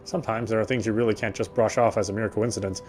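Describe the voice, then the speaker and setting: A man's voice: lowish voice, steady tone, quick pace, darkish tone, faint music behind, close to an everyday voice. An actor playing a weary male city detective, narrating in the first person with a wry inner voice.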